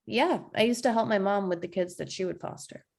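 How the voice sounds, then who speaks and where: Woman playing a deadpan young female comic. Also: mid-range voice, fairly quick, gravelly. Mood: wry, surprised. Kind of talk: table read of a comedy pilot script. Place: remote video call read. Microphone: video call.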